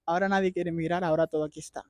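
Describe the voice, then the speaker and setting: High-voiced Cuban man, street interviewer. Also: steady tone, very fast, a little mellow, no echo, studio quality. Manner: familiar, addresses people with terms of endearment. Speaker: street interviewer and YouTube host. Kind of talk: casual street interview and commentary to viewers.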